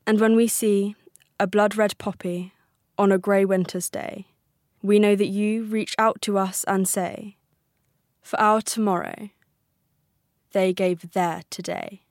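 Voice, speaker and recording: A young woman recites a poem she wrote. Her voice sits at a medium pitch, and she reads in a spoken-word style. The recording is close and dry. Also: steady tone, takes it slowly, pauses often, darkish tone, dry recording. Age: young adult. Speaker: poet reading own poem. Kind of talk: poetry recital.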